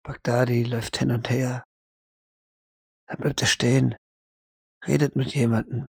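Man, quiet dramatic narration, deliberate short phrases, gravelly, very dry close sound. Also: medium pitch, flat delivery, no room sound. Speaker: game master narrating role-play audio drama. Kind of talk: hushed second-person narration in short fragments.

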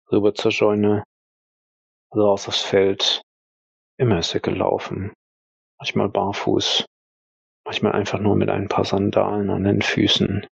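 A man's voice: fairly low pitch, gravelly, breathy edge, no room sound, highs cut off. A man improvising third-person story narration as a player in a role-play audio drama.

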